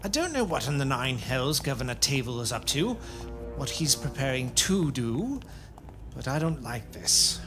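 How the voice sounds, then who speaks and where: An actor playing a troubled, defiant military overseer in an audio drama, speaking in an uneasy, earnest way. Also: male voice, mid-range voice, big pitch swings, normal speed, music in the background. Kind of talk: fan audio drama acting.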